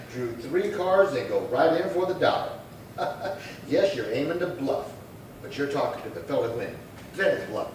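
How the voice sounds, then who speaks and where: A man's voice: a little high, lively intonation, measured pace, long pauses, a little mellow. Man playing a folksy, crafty western town doctor. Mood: gleeful, needling. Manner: folksy, exclamatory, gloating. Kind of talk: old-time western radio acting. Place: live theatre stage performance. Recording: very roomy, distant stage pickup, faint noise.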